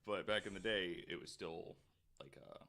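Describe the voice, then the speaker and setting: A man's voice: low voice, steady tone, moderate pace, bright, forceful tone, a little hiss or hum. A man hosting a podcast, chatting casually and then reading researched notes aloud, hesitant with frequent ums and restarts. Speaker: podcast host.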